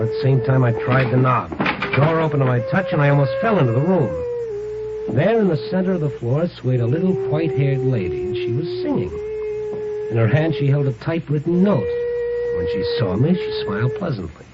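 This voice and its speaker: An actor playing a wry, slangy, impatient investigator who narrates his own case in a 1950s radio crime drama. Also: a little high. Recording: muffled, noisy vintage radio recording.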